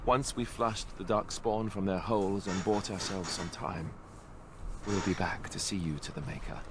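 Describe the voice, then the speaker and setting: Man acting a low, solemn fantasy warrior. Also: fairly even intonation, normal speed, lightly acted. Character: solemn, loyal male warrior in a fantasy game. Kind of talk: fantasy video game voice acting. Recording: echoey game audio with noise and ambience.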